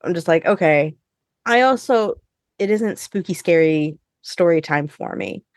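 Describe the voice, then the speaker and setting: A woman hosting a podcast, chatting casually with co-hosts in an informal, expressive way with some swearing. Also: on the low side, unhurried, no echo, studio quality. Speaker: podcast host. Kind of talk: casual review chat with co-hosts.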